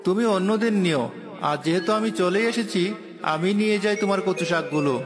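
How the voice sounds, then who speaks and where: Guy doing a high wry helpful village husband for a kids cartoon, big reverb, music behind. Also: a little fast, close to an everyday voice. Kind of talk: children's cartoon dubbing.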